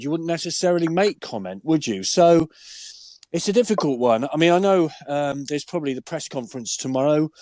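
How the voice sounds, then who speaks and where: An older man, hoarse and deep-voiced from a cold, chatting enthusiastically as a guest pundit and asking rhetorical questions. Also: darkish tone, no echo. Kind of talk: casual podcast chat.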